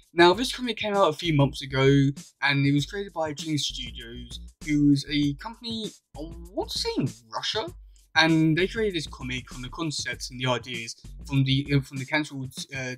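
Higher-pitched young man chatting casually. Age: young adult. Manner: rambling, hesitant, enthusiastic. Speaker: YouTuber. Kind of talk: casual vlog chat to camera. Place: small indoor room at home. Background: music playing.

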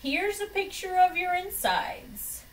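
A woman's voice: very high voice, sing-song, slow pace, voice pushed up, exaggerated voice. A woman voicing an emphatic, exasperated dog doctor who lectures his patients in a children's storybook. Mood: instructive. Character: stern, exasperated know-it-all dog doctor. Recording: distant microphone in a room.

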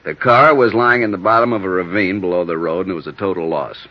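An American actor playing a weary, wry police sergeant in a 1950s radio drama, reporting in a dry, matter-of-fact way. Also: male voice, sits low, fast talker. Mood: matter-of-fact, puzzled. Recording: dull old-time radio recording.

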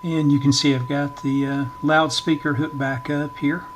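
A man giving an informal hands-on demonstration in short, halting, hesitant phrases, talking at a workbench indoors. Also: a little high, little pitch movement, background noise. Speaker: electronics repair channel host, demonstrator.

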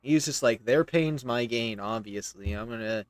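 A man's voice: mid-range voice, moderate pace, no echo. A man in his thirties giving casual, opinionated, emphatic commentary as he plays a video game. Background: faint game music.

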